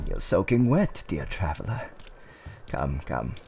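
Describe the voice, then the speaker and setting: A man playing a gentle, courteous tavern keeper in a fantasy roleplay, with soothing, old-fashioned politeness and theatrical hums and pauses. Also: extremely low pitch, sing-song, creaky, faint music behind, pitched below the performer's own voice, full character voice. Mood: concerned, soothing. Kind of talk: roleplay ASMR character acting.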